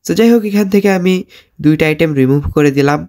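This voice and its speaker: A man's voice: on the high side, fast talker, a little mellow. A man with a Bangladeshi accent giving casual, slangy game commentary straight to viewers as a gaming host.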